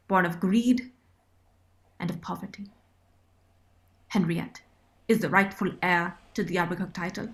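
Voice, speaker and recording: A woman playing a remorseful, loyal nursemaid in a period radio drama, giving an earnest, solemn and deliberate monologue. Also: medium pitch, a bit bright, natural voice. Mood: remorseful, resolute. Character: Runa, remorseful, loyal Indian nursemaid confessing in writing.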